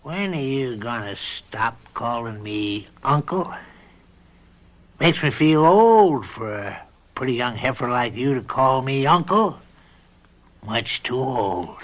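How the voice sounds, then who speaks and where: An actor playing a leering, domineering older farm uncle in a radio drama, with a folksy, mock-friendly needling manner. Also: male voice, medium pitch, expressive, slow, fairly bright tone. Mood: leering, sly.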